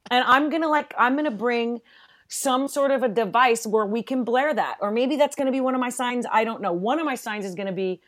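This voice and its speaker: A woman with a very loud voice, chatting as a podcast guest in an emphatic, profane, joking way. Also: on the high side, fairly quick. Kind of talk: casual chat.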